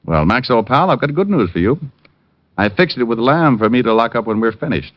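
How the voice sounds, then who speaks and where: An actor playing a shrewd, sardonic private detective with an American accent in a hard-boiled old radio drama. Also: male voice, medium pitch, expressive, brisk, dry recording, lightly acted. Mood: mock friendly. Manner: sardonic, teasing. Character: wry, shrewd, needling male private detective. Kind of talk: hard-boiled first-person narration, 1940s-50s radio acting. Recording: muffled old radio recording with music.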